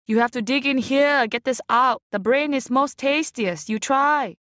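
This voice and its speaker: A woman's voice: high voice, measured pace, a bit bright, dry recording, studio quality, voice pushed up. A woman voicing a bossy, scolding middle-aged auntie who speaks broken English. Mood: instructing.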